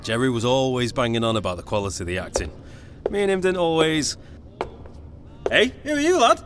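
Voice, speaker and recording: An actor playing an outspoken young theatre activist in a radio drama. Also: male voice, mid-range voice, a little fast, natural voice. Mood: brash. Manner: animated, outspoken. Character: Ewan, outspoken young working-class male theatre activist.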